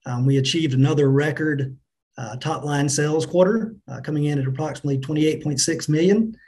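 A middle-aged man answering business interview questions as a company finance chief, in a measured, businesslike manner. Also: a little high, a little mellow. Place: indoors on a remote video call.

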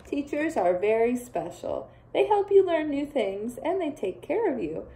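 A young woman teacher reading a picture book aloud to children, expressive and full of playful asides. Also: high-pitched, measured pace, gravelly, rough recording. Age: young adult.

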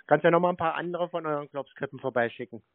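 A man needles someone on a prank call in a crude, mocking manner, full of cheeky pet names. His voice sits a little high. The sound is narrow and phone-like, with very little room around it. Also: fast talker, dry recording. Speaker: scambaiting prank caller and show host. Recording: dry, band-limited phone-call recording.

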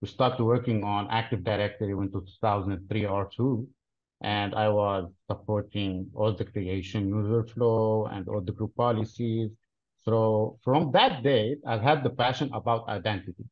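A man in his thirties from Lebanon, answering interview questions in a deliberate, explanatory way with frequent pauses, heard over a video call. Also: on the low side, fairly even intonation. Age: 30s. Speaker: interview guest, cloud solutions architect. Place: plain-walled room, indoors. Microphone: video call webcam. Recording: dull lo-fi video call audio.

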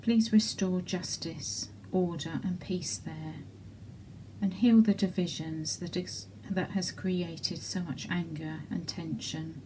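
A woman leading intercessory prayers in a measured way, pausing between short petitions. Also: on the low side, steady tone, mellow, a little hiss or hum, rough recording. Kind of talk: slow spoken intercessory prayer.

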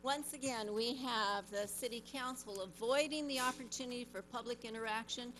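A woman's voice: on the high side, moderate pace, fairly bright tone, poor audio. Indignant woman at public comment. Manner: confrontational, indignant. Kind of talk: public comment at a council meeting. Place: council chamber audience seating. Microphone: standing microphone at her seat.

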